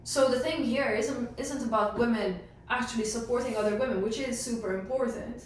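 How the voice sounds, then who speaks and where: A woman's voice: a little high, brisk. A woman talking emphatically and chattily in a casual group discussion. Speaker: panelist in a sports team discussion. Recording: very echoey room, distant mic, faint noise.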